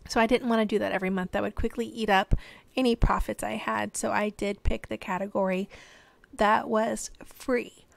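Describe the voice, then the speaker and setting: Hoarse, tired woman rambling chattily. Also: mid-range voice, steady tone, moderate pace, no room sound. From American Midwest. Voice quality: tired, yawning. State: hoarse, losing her voice. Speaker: reseller vlogger. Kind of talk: casual chatty voiceover. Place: home, recorded as voiceover.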